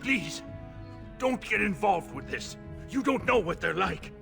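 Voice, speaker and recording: An actor playing an anxious, pleading old shopkeeper in a fantasy video game, given to stammering and indignation. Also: male voice, high-pitched, big pitch swings, a little slow, vocal fry, over music, heavily acted. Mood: frightened pleading. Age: older. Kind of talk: video game character acting.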